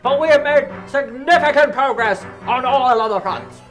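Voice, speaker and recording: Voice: sits high, normal speed, close to an everyday voice. An actor playing a servile alien minion who reports formally to the leader in a superhero spoof film. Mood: loyal, grandiose. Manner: formal, grandiose. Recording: music under the voice.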